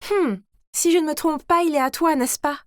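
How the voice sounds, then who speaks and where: A woman's voice: high voice, normal speed, no echo, studio quality, voice pushed up, natural voice. A woman voicing a suspicious know-it-all teenage girl in a cartoon story, pointed and accusing.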